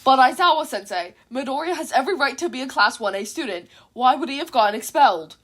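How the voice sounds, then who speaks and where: A woman voicing an earnest, formal teenage boy class representative, loud and emphatic, in an audiobook. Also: high voice, lively intonation, fast. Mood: indignant. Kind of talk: fan fiction audiobook character voice.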